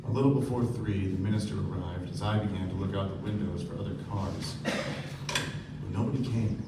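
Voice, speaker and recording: Actor as low-voiced solemn young narrator, echoey hall. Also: male voice, fairly even intonation, normal speed, very noisy, natural voice. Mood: somber, reflective. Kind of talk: literary narration in a staged radio play. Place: live theatre stage. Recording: echoey hall, distant mic, loud music behind.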